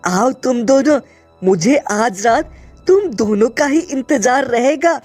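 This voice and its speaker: A woman's voice: high-pitched, animated, slow, a little mellow, heavily acted. A woman voicing a hungry, brave magical female bird in a cartoon, chatting to herself as she searches for food. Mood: determined. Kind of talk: cartoon voice acting. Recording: faint music behind.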